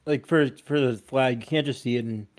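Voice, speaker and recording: Late-20s guy on a podcast, rambly and argumentative, medium pitched voice. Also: brisk. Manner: rambling, argumentative, many fillers. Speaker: podcast co-host. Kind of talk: casual chat.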